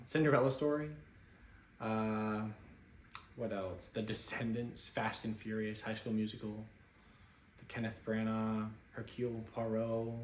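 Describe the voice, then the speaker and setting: A man casually talking through his film-watching stats with an offhand, relaxed manner and plenty of fillers, recording at home in his apartment. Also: fairly low pitch, echoey room, slight background noise, poor audio. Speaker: film vlogger. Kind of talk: casual screen-share commentary.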